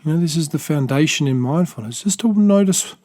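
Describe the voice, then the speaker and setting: A man with an Australian accent gently guiding a body scan meditation, speaking softly and slowly with pauses and small restarts. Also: fairly high pitch, lively intonation. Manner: slow, soft-spoken, gentle guidance with pauses. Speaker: meditation teacher.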